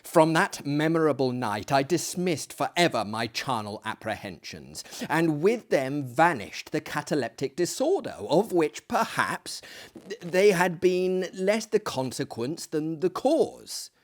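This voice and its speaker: A man performing as a reader of dramatic tales in a hammy, declamatory manner that swells and drops to hushes. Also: a little high, very animated, unhurried. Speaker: reader and host of dramatic readings. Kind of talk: theatrical dramatic reading of a gothic story.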